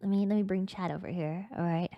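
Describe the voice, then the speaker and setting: A woman's voice: on the low side, steady tone, fast, no room sound, lo-fi sound. A woman streamer reading a story aloud in a theatrical, mock-grandiose, dramatic narrating style.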